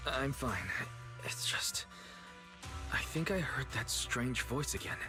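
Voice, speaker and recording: An actor playing a serious, steadfast fighter in a fantasy video game. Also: male voice, mid-range voice, slow pace, natural voice. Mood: troubled, firm. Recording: game music behind the voice.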